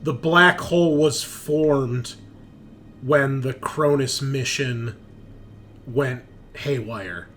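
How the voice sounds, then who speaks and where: A man's voice: medium pitch, drawn-out words, fairly bright tone, slight background noise. A man acting as game master, chatting casually and explaining the game's backstory in a rambling, conversational way.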